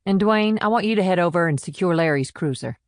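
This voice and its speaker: A tough female police sergeant in a crime audiobook, acted out by a male narrator. The pitch sits raised and the delivery is firm and commanding, in a very dry recording with no room sound. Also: lively intonation, brisk, no echo.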